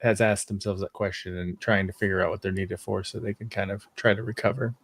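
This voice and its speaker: A man's voice: lowish voice, flat delivery, a little fast. A man speaking as a fan panelist, casually agreeing and elaborating in a group discussion.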